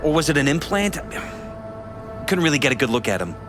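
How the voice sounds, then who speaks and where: An actor playing a polished but troubled middle-aged politician in a video game, speaking in a measured, courteous, earnest way. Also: male voice, a little high, sing-song. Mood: puzzled, uneasy. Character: polished, troubled male politician confiding in an investigator. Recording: music behind.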